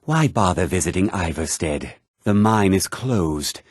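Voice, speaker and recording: Casting: condescending fantasy RPG townsman, short-tempered, lively swooping intonation, close dry sound. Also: sits low, measured pace, slightly rough, dry recording, heavily acted. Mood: dismissive, curt. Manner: condescending, curt, sneering. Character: haughty, irritable townsman of a fantasy realm. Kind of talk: fantasy video game character lines.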